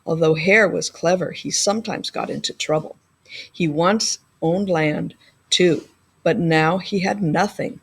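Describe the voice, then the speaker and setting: A woman's voice: lowish voice, a little slow, creaky, slightly rough. A woman narrating a children's picture book expressively, as a school librarian reading aloud.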